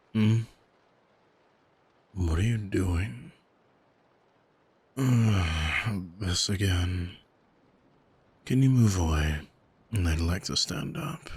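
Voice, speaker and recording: A man playing an arrogant, manipulative demon in an intimate ASMR roleplay, speaking in a soft, teasing, condescending and commanding way to the listener. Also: low-pitched, husky, full character voice. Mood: weary, annoyed. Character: arrogant male demon, seductive, condescending, coolly manipulative captor. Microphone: close microphone, dry.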